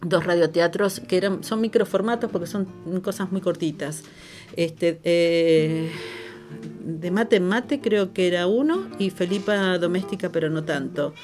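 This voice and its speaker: A woman's voice: on the low side, measured pace, darkish tone, soft background music. An older woman with a Buenos Aires accent, telling her recollections in a conversational interview in an emphatic, colloquial way. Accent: Argentine, Buenos Aires. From Buenos Aires, Argentina. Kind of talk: conversational oral-history interview.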